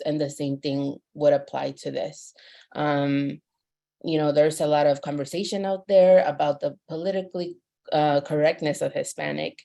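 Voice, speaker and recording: A woman from Mexico on a webinar panel, explaining terms in a measured way with many fillers. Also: low-pitched, vocal fry, dark tone.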